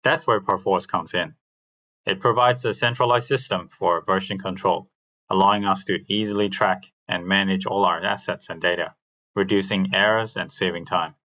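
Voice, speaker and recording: A man's voice: deep voice, fairly even intonation, slow pace, bright, forceful tone, muffled recording. A man giving a scripted technical presentation as a technical artist.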